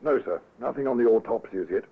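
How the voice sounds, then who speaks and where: An actor playing a deferential, observant police constable, formal and precise, in old-time radio drama style. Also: male voice, medium pitch, fast talker, background noise, lightly acted. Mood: respectful. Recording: muffled vintage radio recording, narrow band.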